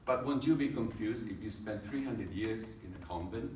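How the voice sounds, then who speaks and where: A man with a Filipino accent gives a humorous speech at a contest, delivering his jokes drily and pausing for the laughs. He is recorded from across an echoey meeting hall. A faint hum of the room sits behind him. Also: lowish voice, recorded from a distance. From Philippines. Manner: deadpan joking with pauses for laughs. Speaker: contest speaker.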